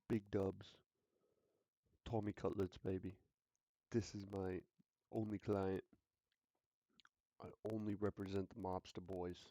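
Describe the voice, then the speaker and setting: A man's voice: lowish voice, warm tone, no room sound. A man voicing a scheming middle-aged mobster sports agent in a put-on Italian-American mobster accent, talking big about deals. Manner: boastful, wheeling-dealing phone talk.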